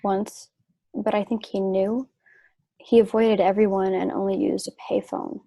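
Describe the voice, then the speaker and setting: A woman playing a sick, trusting wife in a stage play reading, wistful and gently earnest. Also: mid-range voice, level tone, unhurried, gravelly, no echo. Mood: weak, worried.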